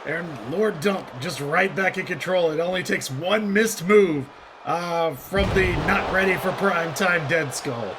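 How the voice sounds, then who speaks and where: A man calling wrestling matches play by play in a jokey, rambling style, breaking into shouted calls of the action. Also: high-pitched, unhurried, noisy background. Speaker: play-by-play commentator. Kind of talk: comedic wrestling commentary.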